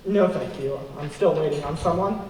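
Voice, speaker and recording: A man's voice: on the high side, moderate pace, natural voice. A man acting a harried, sardonic fugitive wrongly accused of murder in a radio play, sounding exasperated. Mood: bewildered. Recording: echoey hall, distant mic, noisy.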